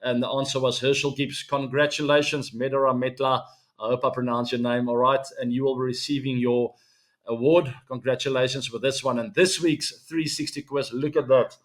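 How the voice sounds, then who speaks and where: A man's voice: medium pitch, normal speed. A man in his forties with an Afrikaans-accented South African English voice, hosting a casual sports show and chatting to his viewers with lots of fillers. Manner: relaxed, chatty, many fillers, addresses fans as guys. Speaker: show host.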